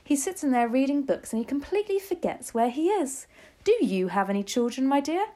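A woman's voice: sits high, sing-song, brisk, warm tone, voice pushed up. A woman voicing a loving, composed old grandmother in a storybook reading. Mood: coolly polite. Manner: affectionate, composed.

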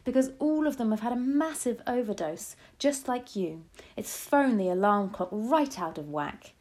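Woman playing a brisk, commanding old grandmother. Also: on the high side, lively intonation, a little mellow, pitched above the performer's own voice. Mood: brisk, wry. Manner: firm, matter-of-fact.

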